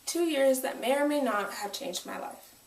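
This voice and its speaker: A woman's voice: high voice, lively intonation, normal speed. Young American woman speaking from afar, echoey. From United States. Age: 20s. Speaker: speaker, self-published author. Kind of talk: prepared autobiographical speech. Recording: echoey room, distant microphone.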